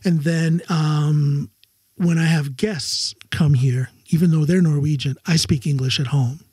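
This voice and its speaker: Brief: American male podcast host, husky mellow voice, encouraging, prompting questions. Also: a little high, fairly even intonation, unhurried, no echo, studio quality. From United States. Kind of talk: casual interview. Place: home.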